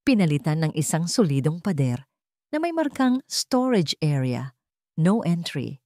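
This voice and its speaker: Low-voiced Filipino woman narrating a story. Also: sing-song, unhurried, dark tone, dry recording, studio quality. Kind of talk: scripted dramatic story narration.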